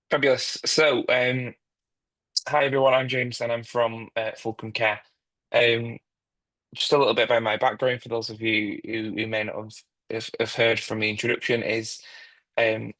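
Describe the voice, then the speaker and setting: A man's voice: mid-range voice, a bit bright, no room sound, low-quality recording. A man with a broad Manchester accent giving a presentation in an online meeting, talking with many ums and restarts. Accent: Manchester English. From England. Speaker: guest speaker, quality consultant. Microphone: video call.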